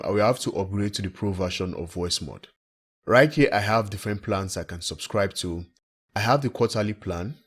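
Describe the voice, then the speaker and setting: A man presenting a software tutorial in a plain, instructional way, recorded as a screen-recording voice-over. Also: lowish voice. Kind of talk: step-by-step software tutorial narration.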